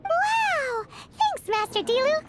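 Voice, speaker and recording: A woman voicing an excitable, childlike little fairy companion in a video game, squeaky and exclamatory. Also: takes it slowly, noisy background, exaggerated voice. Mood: delighted. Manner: exclamatory, refers to self in third person. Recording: loud music behind.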